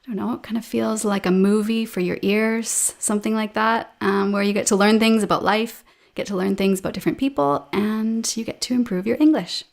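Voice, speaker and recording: A woman in her thirties with a Canadian accent, a guest chatting casually in a relaxed way with fillers, over a video call. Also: medium pitch, brisk, creaky. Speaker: podcast guest, English teacher. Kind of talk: casual interview chat. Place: home room with a painting behind her.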